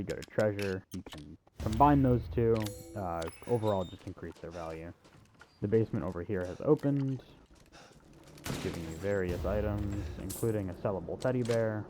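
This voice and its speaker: A man's voice: fairly low pitch, mellow, slight background noise. A man giving a casual, unscripted video game walkthrough in an offhand, self-deprecating way. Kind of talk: casual unscripted gameplay commentary.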